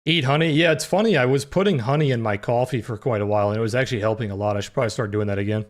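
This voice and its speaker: A young man with a naturally deep voice that sometimes cracks high, hosting a trading livestream in relaxed, filler-heavy chat. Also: rapid-fire. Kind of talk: casual live-stream chat with chat readers.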